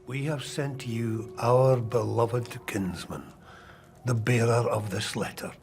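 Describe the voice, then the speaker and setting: An actor playing a determined medieval king, reading in a grave, measured, declaiming way for a documentary. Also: mid-range voice, gravelly, darkish tone, slight background noise. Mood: solemn. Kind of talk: dramatic reading of a historical letter.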